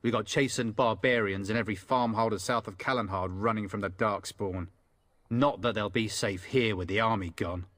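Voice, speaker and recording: A man voicing a gruff, unwelcoming village man in a fantasy video game, curt and sardonic. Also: lowish voice, fairly even intonation, moderate pace, fairly bright tone. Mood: wary, grudging.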